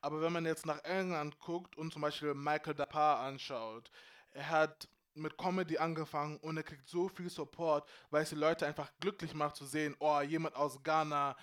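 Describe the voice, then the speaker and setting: A man with a smooth, resonant voice pitched a little high leads a relaxed group conversation. He grew up in Bavaria and slips English phrases into his German, keeping a loose, chatty flow. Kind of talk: casual group discussion.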